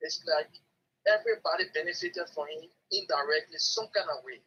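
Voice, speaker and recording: A Liberian man talks casually as a guest, in a high, very husky voice with a bright, forceful edge. He tells anecdotes in an animated way, acting out dialogue and repeating phrases. The sound is dull and muffled. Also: a little fast. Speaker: talk show caller or guest.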